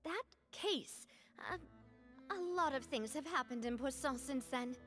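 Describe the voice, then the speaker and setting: A woman's voice: sits high, expressive, unhurried, a bit bright, faint music behind. A young woman voicing a friendly, assured gang leader in a video game, speaking earnestly.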